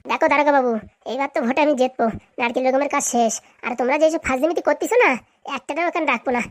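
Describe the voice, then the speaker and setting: A woman plays a cheeky cartoon villager. The words pour out extremely fast in long, sarcastic, indignant arguments that talk back to authority. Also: sits high, no echo, clean studio sound, natural voice. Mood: mocking, defiant. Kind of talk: comic cartoon dialogue.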